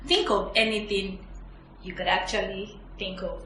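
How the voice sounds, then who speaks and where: A woman's voice: medium pitch, fairly even intonation, measured pace, pushed and bright. A woman speaking as a podcast guest, casually sharing her opinions in a film review chat. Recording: echoey, distant mic, background noise.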